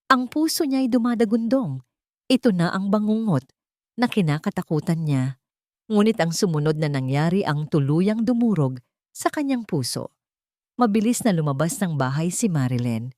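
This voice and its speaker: Low-voiced woman narrating drama. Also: a little mellow, no room sound, clean studio sound. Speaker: story narrator. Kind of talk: dramatic scripted audio story narration.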